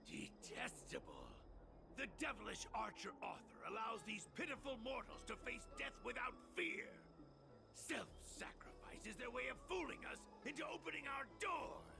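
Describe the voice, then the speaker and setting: A man's voice: very high voice, huge pitch swings, moderate pace, gravelly, over music, heavily acted. An actor playing a fanatical, scornful male cult leader in a video game, with theatrical, grandiose delivery. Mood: furious, scornful. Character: fanatical male cult leader, former spearman turned zealot. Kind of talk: video game villain acting.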